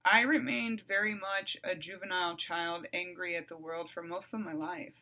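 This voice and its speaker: A woman in her forties hosting a solo podcast, talking in a calm, even way that turns blunt and profane. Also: fairly low pitch, moderate pace, low-quality recording. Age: 40s. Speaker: podcast host.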